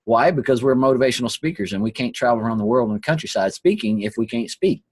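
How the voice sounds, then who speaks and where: A hoarse man who is losing his voice hosts an earnest, preachy motivational talk and often repeats his phrases. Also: medium pitch, big pitch swings, fast talker, no room sound. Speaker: host. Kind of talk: motivational talk on a live online call.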